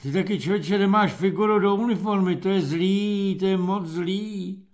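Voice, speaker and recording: A man reading aloud voices a gruff, sardonic army corporal warning young recruits. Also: sits high, darkish tone, close to an everyday voice. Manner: dry, sardonic, weary.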